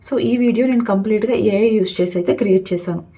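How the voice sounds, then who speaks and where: A woman's voice: mid-range voice, quick pace, mellow, breathy edge. A woman hosting a casual step-by-step tutorial, mixing in English words. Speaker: tutorial host.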